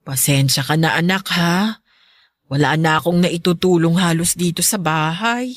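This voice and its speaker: A caring middle-aged mother with a fairly low voice , acted by a woman. She is gentle and fretful, fussing over her grown child in Filipino radio drama style. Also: normal speed, natural voice. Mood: apologetic, fretful.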